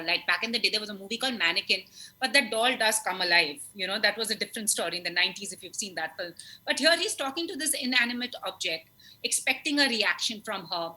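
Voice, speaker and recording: A woman interview host speaks in a bright, crisp voice with an Indian English accent. She talks in an easy conversational way and mixes Hindi phrases into her English questions. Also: mid-range voice, poor audio.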